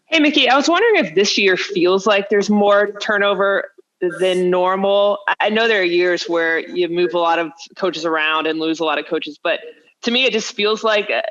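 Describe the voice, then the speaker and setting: A woman with a medium-pitched voice asks a press question as a sports reporter. She is conversational, and the call line sounds a little muffled. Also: moderate pace, fairly bright tone. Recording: dull conference call audio.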